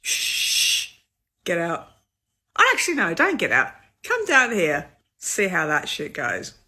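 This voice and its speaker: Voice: medium pitch, wildly swooping pitch, takes it slowly, bright, forceful tone, husky. A speaker in a social media clip, mocking and confrontational. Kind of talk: ranting social media video.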